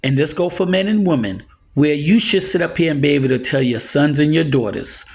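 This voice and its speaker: Man, 60s, slightly high voice, earnest and rambling advice-giver who chuckles now and then. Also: animated, brisk, a little mellow. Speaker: motivational speaker. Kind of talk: casual advice monologue.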